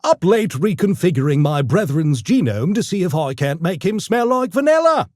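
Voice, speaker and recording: A man's voice: high voice, very animated, normal speed, darkish tone, dry recording, clean studio sound. A man voicing an urbane, mischievous gremlin for a video game, with posh, pompous, theatrical and mock-polite diction. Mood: smug, urbane. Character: urbane genteel mischievous gremlin, a genetically engineered intellectual creature. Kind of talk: video game character voice lines.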